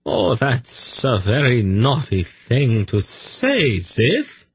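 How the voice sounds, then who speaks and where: A man's voice: fairly low pitch, steady tone, drawn-out words, no room sound, pitched above the performer's own voice. A man voicing a nervous, fawning snake keeper in an audiobook, pleading, apologetic and coaxing in manner. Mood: soothing, gently scolding. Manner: wheedling, apologetic, deferential.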